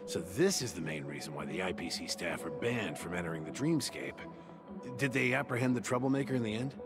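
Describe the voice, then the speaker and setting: A man's voice: low-pitched, lively intonation, fairly quick. An actor playing a firm, loyal male security officer in a video game, speaking in declarative scripted lines. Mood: gruff, inquiring. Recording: loud game music behind.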